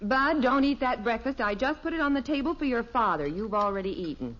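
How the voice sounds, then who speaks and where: An actress playing a calm, practical suburban wife and mother in a 1950s radio sitcom, gently teasing and matter-of-fact. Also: on the high side, a little fast, natural voice. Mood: gently firm. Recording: dull noisy old radio, audience behind.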